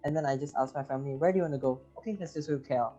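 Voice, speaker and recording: A man's voice: medium pitch, racing, dull audio, over music. A young man, co-hosting a student podcast in casual chat, asking playful, teasing questions. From Indonesia. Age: young adult. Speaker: podcast co-host.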